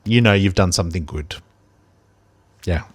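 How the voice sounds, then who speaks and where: Aussie teacher guy chatting on his podcast, big lowish voice, lots of ums and restarts, dry sound. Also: moderate pace, slightly rough, dry recording. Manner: rambling, many ums and restarts. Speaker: primary school teacher and podcast host. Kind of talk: reflective casual podcast chat.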